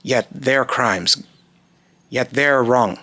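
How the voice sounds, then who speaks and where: A man's voice: mid-range voice, extremely slow, sharp tone, dry recording. A man voicing an aloof male god who condemns mortals, in a grave, declamatory and contemptuous delivery of scripted monologue lines. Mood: grave, condemning. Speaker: amateur voice actor.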